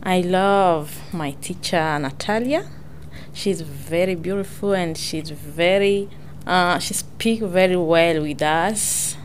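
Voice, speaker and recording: A woman speaks slowly and haltingly, often stopping to search for her words. Her voice sits fairly low, with a faint hiss of background noise. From Congo. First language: French. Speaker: adult English learner. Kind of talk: personal introduction.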